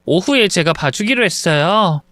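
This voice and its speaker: A man's voice: sits high, lively intonation, moderate pace, pushed and bright, dry recording. A man voicing a newly married young woman who speaks politely and apologetically.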